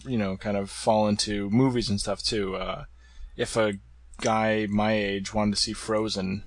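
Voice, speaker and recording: Low, gravelly man, hesitant. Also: little pitch movement, measured pace, no room sound. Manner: hesitant, searching for words, many "uh" and "um". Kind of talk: casual chat.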